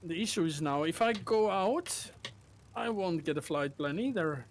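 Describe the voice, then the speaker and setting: A man's voice: high-pitched, lively intonation, moderate pace, slight background noise. A man hosting a casual flight simulator livestream, chatting with other players and thinking aloud in a hesitant way.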